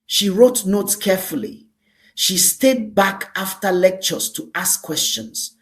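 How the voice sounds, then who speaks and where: A woman narrating a scripted dramatic folktale as a storyteller, speaking deliberately in short, emphatic phrases. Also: medium pitch, lively intonation.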